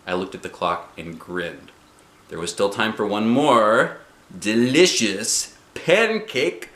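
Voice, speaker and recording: Guy doing a braggy excited first grade girl from a storybook, dramatic and earnest, voice all over the place, echoey room. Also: fairly low pitch. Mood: happy. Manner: dramatic, childlike, earnest, with drawn-out emphasis. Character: excitable, boastful little first-grade girl narrating her journal. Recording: roomy home recording.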